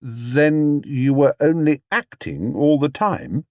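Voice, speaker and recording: A man's voice: medium pitch, very animated, moderate pace, no echo, clean studio sound, voice pushed up. A man doing a small stubborn bear, earnest and indignant. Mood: earnest, firm. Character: small polite stubborn young male bear.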